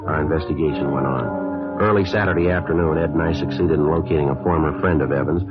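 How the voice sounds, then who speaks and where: An actor playing a stoic, deadpan police sergeant in a 1950s radio crime drama, polite and clipped. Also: male voice, a bass voice, fast talker, music in the background. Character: stoic, businesslike police sergeant narrating his case. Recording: dull old radio recording.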